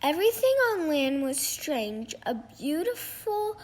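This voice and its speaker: Female storybook reader, very high voice, slow and halting, rereads phrases as she goes. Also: very animated. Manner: halting, stumbles over words and rereads phrases. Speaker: reader of a children's picture book. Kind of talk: storybook read-aloud.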